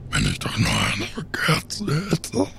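Swaggering yet sad pirate, slow, wildly swooping pitch, theatrical, audio play, noisy recording. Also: male voice, very animated, gravelly, bright, forceful tone, exaggerated voice. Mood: wistful. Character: self-proclaimed muscular, dangerous pirate, soft and melancholy about cats. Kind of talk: improvised audio drama.

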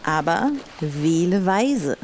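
A woman's voice: fairly low pitch, expressive, slow, a little mellow, a little hiss or hum. A woman playing a commanding pirate captain in an audio role-play adventure. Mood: commanding, mysterious.

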